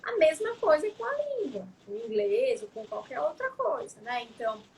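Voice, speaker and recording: High-voiced woman, reflective chat. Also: huge pitch swings, moderate pace, a little hiss or hum. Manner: reflective, frequent tag questions. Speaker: psychologist guest. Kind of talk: casual interview talk.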